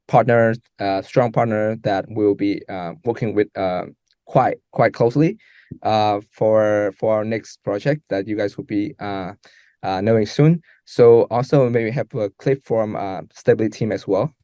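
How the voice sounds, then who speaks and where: A man hosting an event off the cuff, speaking in a halting way with many fillers. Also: on the low side, mellow, dry recording, clean studio sound. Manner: halting, filler-heavy speech. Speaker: hackathon host.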